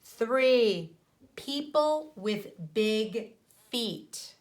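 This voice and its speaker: A woman teacher reads aloud for young pupils in a fairly high voice. Her pace is markedly slow and deliberate, with pauses between phrases. She explains and poses questions as she goes. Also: animated. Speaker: primary school teacher. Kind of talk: read-aloud of a picture book for children with teaching commentary.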